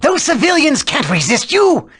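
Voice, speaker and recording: An actor playing a ruthless, taunting villain commander with a raspy, forceful voice in a video game. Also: male voice, very high-pitched, wildly swooping pitch, moderate pace, no room sound, studio quality, full character voice. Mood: commanding. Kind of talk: scripted video game voice acting.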